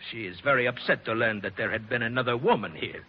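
A man's voice: mid-range voice, fast talker, husky, lightly acted. A man playing a suave, vain, menacing Sicilian bandit chief with an Italian accent and courtly, boastful manner in a 1940s radio drama. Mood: suave, mocking. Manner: courtly, mock-polite, boastful. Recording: dull, noisy old radio recording.

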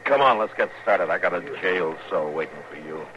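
A muffled, noisy old radio recording carries a deep-voiced American marshal of the Old West. He is a stern, middle-aged man who speaks in a laconic, matter-of-fact way, as in a 1950s radio western. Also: animated, fairly quick, pushed and bright. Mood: calm, firm.

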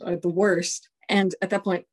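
A woman in her forties whose first language is Spanish, a colonel telling stories in a casual interview, relaxed and chuckling often. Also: on the low side, moderate pace. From United States. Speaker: guest, Air Force colonel.

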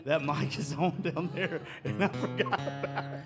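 A man's voice: fairly high pitch, normal speed. A man hosting a podcast recorded live at a music venue, loose, joking and profane. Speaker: podcast host. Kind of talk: casual live podcast chat.

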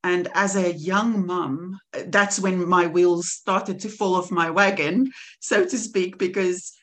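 A woman's voice: lowish voice, steady tone, slow pace, fairly bright tone. A woman in her forties from South Africa with a South African accent, telling her faith story as a podcast guest, earnest and reflective. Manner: earnest and reflective, laughs easily. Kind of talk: personal testimony in conversation.

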